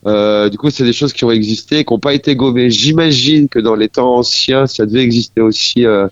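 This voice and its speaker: A man in his forties from France, a musician speaking as a guest in a casual radio interview, halting and searching for his words. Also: mid-range voice, dry recording, highs cut off.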